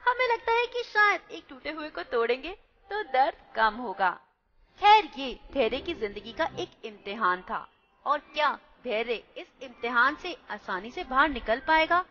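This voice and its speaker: A woman narrates a radio play, talking directly to her listeners and asking them questions. Her voice is high, bright and animated, with soft music underneath. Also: muffled recording. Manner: questioning, addresses listeners as friends. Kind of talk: scripted reflective narration.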